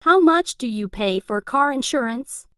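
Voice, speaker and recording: A woman reading an English lesson, saying each practice phrase twice in a slow, even way. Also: sits high, clear, resonant tone, no echo, studio quality.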